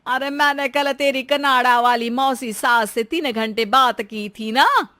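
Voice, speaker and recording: A woman playing a demanding, nosy elderly mother-in-law in an animated moral story, with a bossy, wheedling manner. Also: high voice, a little slow. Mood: querulous.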